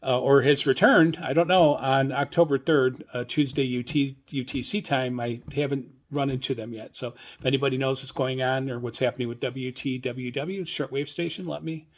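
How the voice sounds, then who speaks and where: Older man, medium-pitched voice, podcast host, informal news commentary, halting and rambling delivery. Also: steady tone. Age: older, 60s or above. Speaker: radio hobbyist podcast host.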